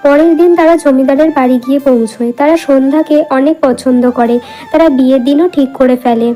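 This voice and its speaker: A woman's voice: sits high, a little fast, warm tone, faint music behind, poor audio. A woman narrating a scripted cartoon moral story as a storyteller.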